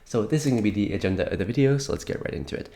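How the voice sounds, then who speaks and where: A man's voice: lowish voice, lively intonation, very fast, vocal fry, warm tone. A young man giving a casual market update while slightly sick, rambling with many fillers and restarts. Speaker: crypto market commentator.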